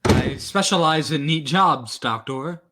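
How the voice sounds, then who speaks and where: Slow, politely menacing hired killer played by a man. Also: a little high, lively intonation, background noise. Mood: cool, matter-of-fact. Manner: formal, measured, understated politeness. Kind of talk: old-time radio drama acting.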